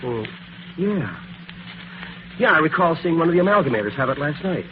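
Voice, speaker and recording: A man's voice: on the high side, sing-song, moderate pace. An actor playing a detective's easygoing, plain-talking male sidekick in an old radio drama. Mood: puzzled, relieved. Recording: muffled, noisy old radio recording.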